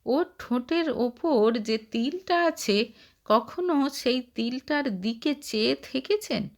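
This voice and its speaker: A woman's voice: high-pitched, expressive, slow pace, heavily acted. A woman voicing a jeering, combative young man who fires accusing questions in a dramatised story. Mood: mocking challenge. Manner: taunting, accusing, rhetorical questions.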